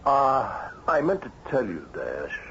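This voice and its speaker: An actor playing a falsely friendly, controlling psychiatrist in an old radio mystery. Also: male voice, medium pitch, huge pitch swings, slow pace, vocal fry, fairly bright tone, exaggerated voice. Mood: breezy, placating. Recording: dull, faintly noisy old radio recording.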